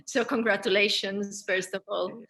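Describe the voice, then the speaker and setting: Bright-voiced woman moderating a discussion. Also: mid-range voice, steady tone, moderate pace, low-quality recording. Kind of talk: unscripted panel questioning.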